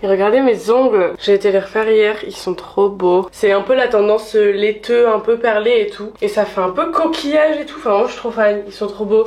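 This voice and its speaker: A woman's voice: medium pitch, brisk, hardly any pauses, a little mellow. Chatty young French woman vlogging at home. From France. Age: young adult. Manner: chatty, upbeat, slangy. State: has a cold. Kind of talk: casual vlog narration.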